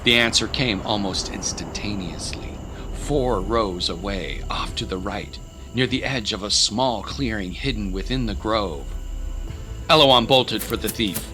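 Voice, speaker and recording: A man's voice: on the low side, a little slow, over music. A man narrating an audio drama in a dramatic, scripted storytelling style.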